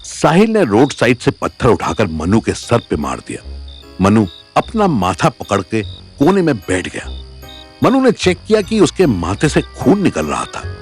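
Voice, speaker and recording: Casting: male narrator for suspense audio fiction, mid-pitched, highly animated intonation, music and crickets underneath. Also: unhurried. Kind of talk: dramatic scripted story narration.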